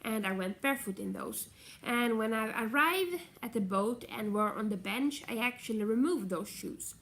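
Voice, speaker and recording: A woman's voice: a little high, animated, moderate pace, fairly bright tone. Chatty nasal woman vlogging. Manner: chatty, meandering, self-correcting. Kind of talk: casual rambling vlog chat.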